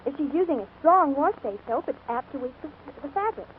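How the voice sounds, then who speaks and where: A woman's voice: sits high, expressive, fast talker. A woman giving chirpy, instructive advice in a scripted 1940s radio commercial. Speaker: advertisement co-presenter. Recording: scratchy, narrow-band 1940s radio broadcast.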